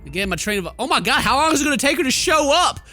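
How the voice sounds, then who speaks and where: A man hosts a gaming video in a bright, high voice that swings widely. He is playful and theatrical, mixing exclamations with read-aloud game text. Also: big pitch swings, rapid-fire. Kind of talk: animated let's play commentary, reading game text aloud. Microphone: close microphone at a desk. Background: faint game music.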